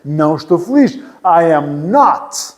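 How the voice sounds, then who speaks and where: A man's voice: on the high side, very animated, slow. A man from Portugal with a European Portuguese accent, teaching an English class patiently, repeating phrases and joking lightly. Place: church hall classroom. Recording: reverberant hall.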